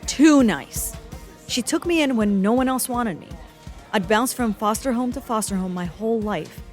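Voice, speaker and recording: An exasperated young rebel woman, played by an actress, sarcastic and emphatic. Her voice is fairly high and very lively, in comic audio drama style with faint music behind. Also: moderate pace, close to an everyday voice. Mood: frustrated venting.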